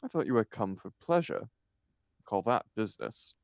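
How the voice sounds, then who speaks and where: A man's voice: on the low side, expressive, normal speed, gravelly, no room sound, muffled recording. A man reading the part of a flippant, idle upper-class bachelor in a stage comedy, with an arch, mock-serious declamatory manner.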